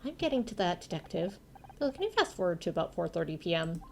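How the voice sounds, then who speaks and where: A woman's voice: fairly low pitch, big pitch swings, quick pace, gravelly, a little mellow, a little hiss or hum. A woman voicing a confident, wry actress turned amateur sleuth in a video game. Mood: determined.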